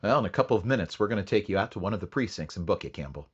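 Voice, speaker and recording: A man's voice: fairly low pitch, expressive, racing, slightly rough, no room sound, muffled recording. An actor playing a clipped, matter-of-fact male police detective who also narrates a radio drama. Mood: interrogating. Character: terse male police detective, steady and dry.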